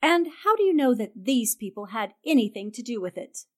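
An actress playing a fearless, sharp-tongued 1940s newspaperwoman in a radio drama, brisk and commanding. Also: on the high side, big pitch swings. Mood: cool, sarcastic.

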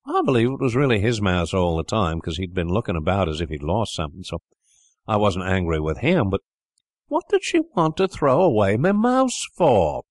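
A man's voice: on the low side, sing-song, normal speed, dry recording, studio quality. A man voicing a little boy who rambles artlessly and sounds indignant, in an audiobook reading.

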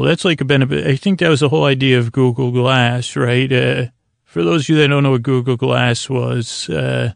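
Creaky-voiced man rambling softly at bedtime. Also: mid-range voice, dry recording, studio quality. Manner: slow, languid, meandering, with long pauses. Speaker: podcast host. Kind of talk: rambling bedtime sleep podcast monologue.

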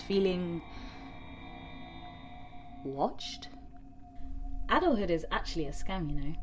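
A woman's voice: fairly low pitch, darkish tone. Young anxious, sardonic woman for intimate audio-drama monologue; candid, sweary delivery over prominent music. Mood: introspective, uneasy, then wry, weary. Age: young adult. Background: music and sound design.

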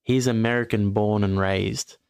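Male podcast host, lowish gravelly voice, dry close sound, rambling and opinionated. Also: fairly even intonation, unhurried, a little mellow, no echo. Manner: rambling, self-correcting, frank opinions. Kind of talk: casual sports chat.

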